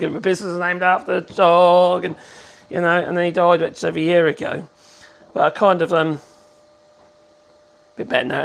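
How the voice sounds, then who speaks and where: A man's voice: sits high, vocal fry, a little hiss or hum. A man, hosting a casual woodworking livestream. He rambles in a chatty way and coos to his puppy in a sing-song voice. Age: 50s.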